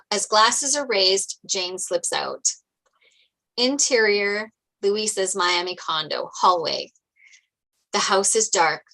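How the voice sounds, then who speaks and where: A woman reading script narration and stage directions aloud at a table read in an animated storytelling way. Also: mid-range voice, poor audio.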